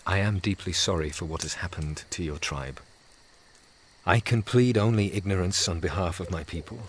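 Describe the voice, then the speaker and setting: An actor playing a compassionate English sea captain in a radio drama, sincere and pleading. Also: male voice, low voice, moderate pace, a little hiss or hum, lightly acted. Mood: regretful, earnest.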